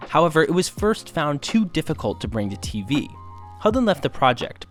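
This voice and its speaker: Man, mid-pitched, scripted documentary narration, measured, formal, faint music bed.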